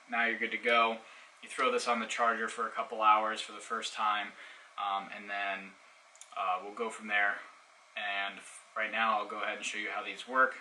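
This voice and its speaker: A man's voice: on the low side, sharp tone, a little hiss or hum, low-quality recording. A man giving a casual hands-on product demonstration in an informal, explanatory way. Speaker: product reviewer.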